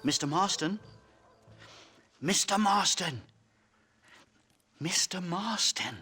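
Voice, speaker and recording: A fussy pompous professor type from a video game, stammery, high pitched and really animated. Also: male voice, takes it slowly, slightly rough, faint music behind, exaggerated voice. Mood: nervous, solicitous. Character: pompous, excitable male academic, bumbling and condescending. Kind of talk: video game cutscene acting.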